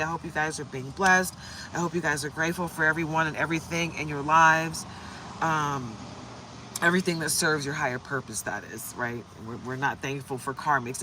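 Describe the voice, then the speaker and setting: A hoarse woman from the United States doing a casual, upbeat tarot livestream and greeting viewers by name. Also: sits low, fairly bright tone, slight background noise, poor audio. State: hoarse from shouting. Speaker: tarot reader.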